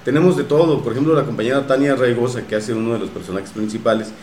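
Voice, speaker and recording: A man with a Mexican accent talking casually as an interview guest about his radio work, often halting to search for words. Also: medium pitch, darkish tone. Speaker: radio drama producer and guest. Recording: roomy echo with faint noise.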